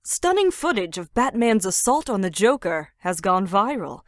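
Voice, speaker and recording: A woman voicing a poised female TV news anchor in a fan-dubbed motion comic, with crisp broadcast delivery. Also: mid-range voice, sing-song, moderate pace, no room sound, clean studio sound, lightly acted. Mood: formal.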